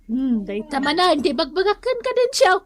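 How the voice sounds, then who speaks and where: Woman playing a high-voiced nagging Filipino mother. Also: sing-song, a little fast. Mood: motherly, earnest. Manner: scolding, pleading, sighing. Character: nagging, long-suffering mother and wife, earnestly advising. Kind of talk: Ilocano comedy radio drama acting.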